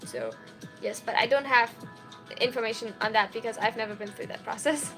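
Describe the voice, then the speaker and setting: Woman, 21, chatty high-voiced streamer answering chat, with faint music underneath. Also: brisk. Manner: chatty and giggly, full of "like" and "um". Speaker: streamer and college student. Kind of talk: casual livestream chat answering viewer questions.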